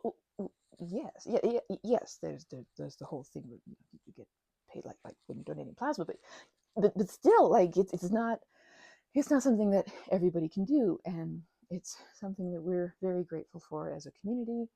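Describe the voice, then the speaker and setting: A woman playing a timid, gentle vampire in an audio roleplay, stammering and hesitant in a soft, breathy voice. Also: fairly low pitch, no room sound, full character voice. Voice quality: soft, breathy, sometimes dropping to a whisper. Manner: nervous stammering, hesitant, apologetic, breaking into nervous giggles. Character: timid, grateful, gentle female vampire, shy and friendly. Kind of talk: audio roleplay acting, intimate one-sided conversation.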